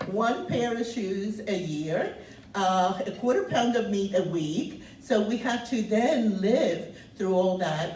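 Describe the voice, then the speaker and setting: Woman in her 70s, loud and earnest personal testimony, mid pitch, mic set back in a roomy space. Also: slight background noise. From Cuba. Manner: speaks loud, earnest, pauses on many fillers. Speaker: guest speaker sharing her refugee story. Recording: roomy, distant mic, faint ambience.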